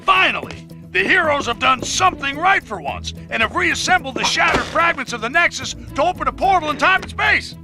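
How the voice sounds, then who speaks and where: An actor playing a loud, blustering news broadcaster who rants sarcastically and emphatically against superheroes in a cartoon game. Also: male voice, very high voice, expressive, a little fast, over music. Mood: bombastic. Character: loud, ranting, hero-bashing male news broadcaster. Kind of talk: bombastic news rant.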